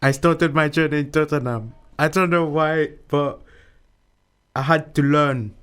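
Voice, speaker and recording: A man's voice: on the high side, steady tone, measured pace, a bit bright, smooth. A man from Mauritius being interviewed, talking casually and repeating words often.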